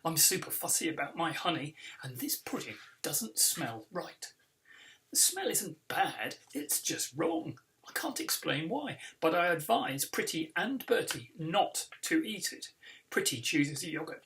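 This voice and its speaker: A man with a British accent reads a children's story expressively, as its author. His voice is bright and forceful with a gravelly creak, recorded slightly away from the microphone. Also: a little high, very animated, measured pace, distant mic. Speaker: author reading own book.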